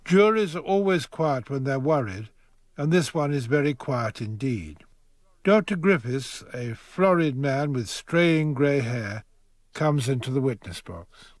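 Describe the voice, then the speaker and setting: An actor as an observant narrator, recounting a trial in scripted radio drama style. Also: male voice, medium pitch, expressive, measured pace, lightly acted. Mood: wry, observant.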